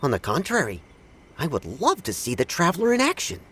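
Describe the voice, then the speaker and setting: An actor playing a proud, scornful samurai who speaks in a blunt, formal, haughty way in a video game. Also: male voice, a little high, wildly swooping pitch, a little fast, fairly bright tone, slightly rough, exaggerated voice.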